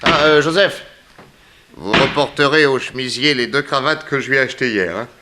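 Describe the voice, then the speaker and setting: An actor as a debonair male private detective in a sixties French radio serial. He speaks in an urbane, easygoing way, genial and unhurried, with polished period delivery. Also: mid-range voice, noisy background, natural voice. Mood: genial, leisurely. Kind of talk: 1960s French radio drama acting.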